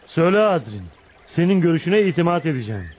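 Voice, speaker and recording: A man's voice: fairly high pitch, big pitch swings, fast, a little mellow, husky. An actor playing a brooding, jealous man of volatile temper in a dramatic, intense radio theatre style. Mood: bitter, pleading. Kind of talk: Turkish radio theatre acting.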